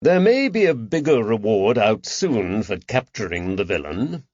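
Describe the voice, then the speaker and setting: A man playing a patient, careful detective in an audiobook mystery, measured and gently firm as he questions. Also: lowish voice, big pitch swings, no echo, muffled recording. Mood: cautious, coaxing.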